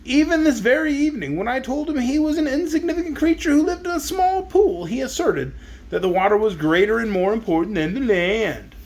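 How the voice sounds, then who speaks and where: Guy doing a huffy storybook zebra, high pitched, swoops all over, talks down to everyone. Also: lively intonation, a little fast, darkish tone. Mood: indignant. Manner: indignant, haughty. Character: proud, touchy male zebra.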